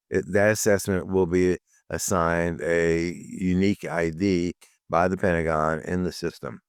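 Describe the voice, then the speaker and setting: A man with a deep voice runs his weekly security news rundown, sounding a bit rough. His voice stays smooth and clear under it, in a dry, close recording. He talks bluntly, with dry asides and plenty of ums and uhs. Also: darkish tone, dry recording. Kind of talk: solo news commentary.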